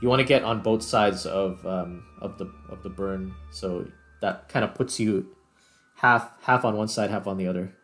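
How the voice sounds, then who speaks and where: A man's voice: low-pitched, normal speed, fairly bright tone, soft background music. A man giving casual gameplay commentary as a video host, thinking aloud as he explains things.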